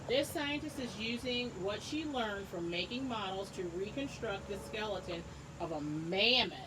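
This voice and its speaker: A woman's voice: mid-range voice, expressive, moderate pace. Woman teacher reading aloud, noisy recording. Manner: encouraging, addresses children directly with simple explanations. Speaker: teacher reading a book aloud to young pupils. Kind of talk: read-aloud lesson with asides and questions to children.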